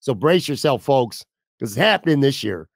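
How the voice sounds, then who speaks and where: A man's voice: medium pitch, sing-song, normal speed, breathy edge, no echo, studio quality. A man hosting a sports podcast in a casual, blunt, opinionated and informal way. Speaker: podcast host.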